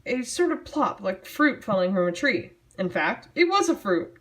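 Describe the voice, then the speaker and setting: A woman reads a story aloud at a middling pitch, hamming it up in a mock-dramatic way. Her delivery is theatrical yet casual, with a sardonic, self-mocking edge of commentary on the material. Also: very animated, normal speed, a bit bright. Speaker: amateur storyteller reading own childhood writing.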